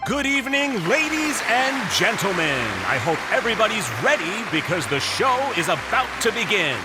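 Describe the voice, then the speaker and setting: Showy male emcee, game; high, animated, proclaiming through heavy crowd noise. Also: normal speed, over loud music. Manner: grand, proclaiming. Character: showy male master of ceremonies at magic show. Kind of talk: game voice acting, stage announcement. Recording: noisy crowd ambience over the voice.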